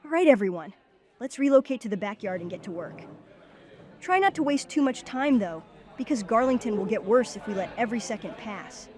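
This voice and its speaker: A woman's voice: fairly high pitch, expressive, brisk, darkish tone, lightly acted. A woman voicing an earnest child leader who takes charge, in a cartoon-style comic dub. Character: earnest kid leader, Max, organizing friends. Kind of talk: comic dub character acting.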